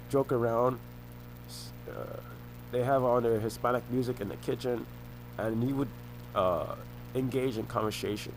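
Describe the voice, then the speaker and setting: A young man talking to the camera in a rambling monologue, often halting, repeating himself and restarting phrases. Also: medium pitch, noisy background. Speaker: vlogger.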